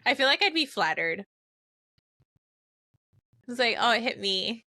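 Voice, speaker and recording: A woman's voice: fairly high pitch, big pitch swings, unhurried, pushed and bright, dry recording, studio quality. A woman in her late twenties co-hosting a casual podcast, playful and blunt with her jokes. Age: late 20s. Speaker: podcast co-host. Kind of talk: casual chat.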